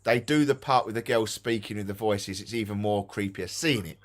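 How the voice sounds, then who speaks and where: A man's voice: on the low side, normal speed. A man with an Essex English accent co-hosting a ghost hunting live stream, chatting casually and repeating himself for emphasis.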